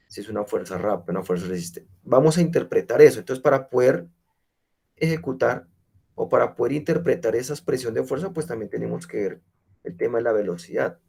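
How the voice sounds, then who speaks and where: A man's voice: medium pitch, creaky, darkish tone, slightly rough. A man teaching a physical education class while he has a cold, explaining step by step and checking in with his students. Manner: explanatory, many rhetorical checks. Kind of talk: online class lecture.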